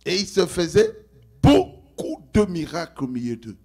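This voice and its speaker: An older man with a Congolese accent preaching live in short phrases, exhorting and questioning his congregation with a gravelly, husky voice. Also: fairly high pitch, big pitch swings, moderate pace, dark tone. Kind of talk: live church sermon delivered in short phrases for interpreting. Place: church service.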